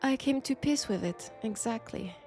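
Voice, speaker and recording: A woman's voice: fairly high pitch, expressive, warm tone, faint music behind. A woman playing a mysterious, flirtatious Frenchwoman in 1940s England, speaking with a French accent in an intimate, coy roleplay audio drama. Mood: playful, friendly. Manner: unhurried, coy, conversational with pauses.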